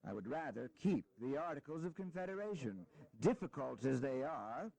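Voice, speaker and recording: A man's voice: mid-range voice, very animated, creaky, a little mellow. Stubborn statesman, widely swinging delivery. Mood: firm. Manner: formal, deliberate, firm. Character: stubborn, wary New Jersey delegate at founding convention. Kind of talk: historical audio drama acting.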